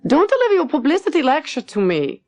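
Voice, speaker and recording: A woman's voice: high-pitched, wildly swooping pitch, fast, pitched above the performer's own voice. A woman narrator voicing a snappish, severely logical woman doctor in her late fifties in an audiobook story. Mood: indignant. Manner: snappish, emphatic, cutting. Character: sharp, indignant woman doctor of fifty-eight, severely logical.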